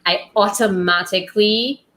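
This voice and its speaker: A woman from Trinidad with a light Trinidadian accent, hosting a casual book club chat and telling lively stories with laughs and acted-out quotes. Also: medium pitch, measured pace. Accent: light Trinidadian, trained toward neutral. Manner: animated storyteller, laughs often, acts out quotes.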